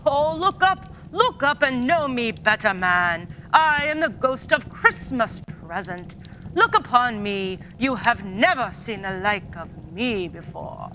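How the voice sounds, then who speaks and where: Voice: mid-range voice, sing-song, takes it slowly, sharp tone, heavily acted. Someone doing big jolly giant spirit for a radio play, hearty, noisy recording. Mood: hearty, commanding.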